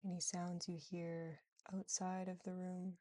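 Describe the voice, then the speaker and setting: A woman guiding a yoga nidra relaxation in a soothing, gentle, unhurried manner with long pauses. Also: lowish voice, completely flat delivery. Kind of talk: guided relaxation meditation.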